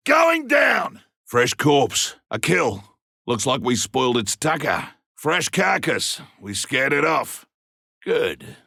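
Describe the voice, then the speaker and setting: Game role: rugged Australian trapper, cocky and blunt, husky voice with a hard bright edge. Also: male voice, medium pitch, huge pitch swings, slow, no room sound, clean studio sound, exaggerated voice. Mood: calm, wry. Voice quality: gravelly, rough. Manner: colloquial, blunt, forceful delivery. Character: gruff male monster hunter, rugged, cocky, seasoned trapper. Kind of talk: video game combat barks and callouts.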